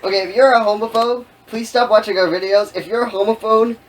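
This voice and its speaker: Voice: mid-range voice, fairly even intonation, quick pace, a little hiss or hum, low-quality recording. A co-host making casual, joking comments with dry, sarcastic interjections.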